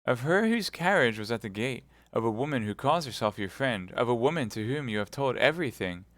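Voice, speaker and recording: A man's voice: mid-range voice, lively intonation, a little fast, a bit bright, no echo, pitched above the performer's own voice, natural voice. A man voicing a dying young woman who pleads in breathless, frightened bursts. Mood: tender.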